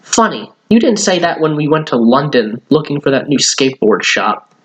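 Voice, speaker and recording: A man's voice: on the high side, very animated, moderate pace. A man voicing a firm, sensible, exasperated mother who scolds matter-of-factly in a storybook reading.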